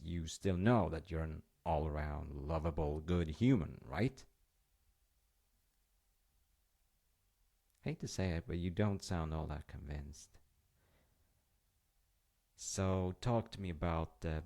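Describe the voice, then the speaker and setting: Male actor as comforting, slightly goofy dad for intimate roleplay: very low, gravelly, soothing, conversational. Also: darkish tone, exaggerated voice. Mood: warm, reassuring. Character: gentle, reassuring, slightly goofy dad comforting his child. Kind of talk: intimate roleplay monologue with storytelling.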